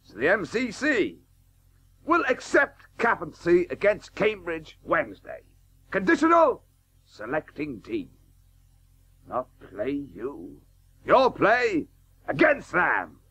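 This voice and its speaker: A man's voice: high voice, wildly swooping pitch, slow, a bit bright, husky, full character voice. An actor playing a blustering, domineering middle-aged cricketer father in a radio play, with clipped, emphatic phrases. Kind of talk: 1980s radio drama acting. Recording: muffled old radio broadcast recording.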